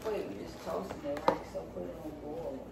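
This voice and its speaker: A man's voice: a little high, fairly even intonation, moderate pace, gravelly, far from the mic. A man helping cook in a home kitchen, answering in brief, laid-back replies. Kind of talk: casual chat. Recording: echoey kitchen, off-mic, background noise.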